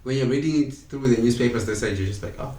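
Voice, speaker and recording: A young man, a podcast guest talking in a relaxed way with short agreeing replies. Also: medium pitch, fast. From Zimbabwe. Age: young adult. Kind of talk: casual podcast discussion. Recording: echoey room with faint noise.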